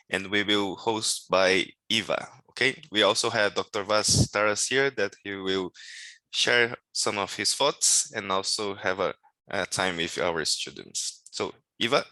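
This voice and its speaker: Low-pitched man, forceful bright voice. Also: measured pace, no echo, rough recording. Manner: brief, welcoming, hands over to host. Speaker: meeting organizer. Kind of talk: opening remarks at online meeting. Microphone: video call.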